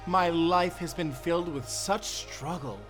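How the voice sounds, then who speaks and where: An actor playing a cruel, gloating prison warden who taunts theatrically in an audio drama. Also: male voice, on the high side, measured pace, fairly bright tone, soft background music. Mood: mock reading. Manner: mocking, theatrical, drawling.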